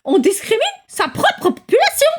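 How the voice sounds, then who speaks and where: A woman's voice: very high-pitched, huge pitch swings, normal speed. A woman talking casually and bluntly at home, outspoken and exasperated. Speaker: vlogger. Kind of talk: casual indignant chat.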